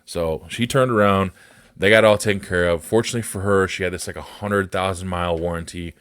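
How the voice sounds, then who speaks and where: An American man speaks in a low, bassy voice, recorded dry with very little room sound. He talks like a podcast host airing a personal grievance, unscripted and blunt. His delivery is indignant and peppered with swearing. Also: fairly even intonation, fast talker, a bit bright, smooth, no echo.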